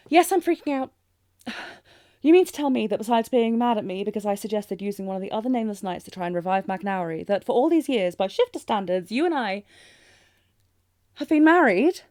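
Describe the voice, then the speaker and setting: A woman acts out a refined young princess from a romantic fantasy audio drama. Her voice sits at a middle pitch, close and free of room sound. She speaks in earnest, theatrical phrases with old-fashioned courtly politeness, easily flustered. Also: expressive, moderate pace, pauses often, darkish tone, no echo, natural voice. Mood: flustered panic. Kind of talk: romantic audio roleplay spoken to the listener.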